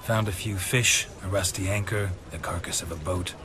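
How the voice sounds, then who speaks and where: An actor playing a weary, sardonic middle-aged monster hunter in a video game, with a low gravelly voice and deadpan delivery. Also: male voice, moderate pace, background noise, lightly acted. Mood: dryly sardonic. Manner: deadpan, dry.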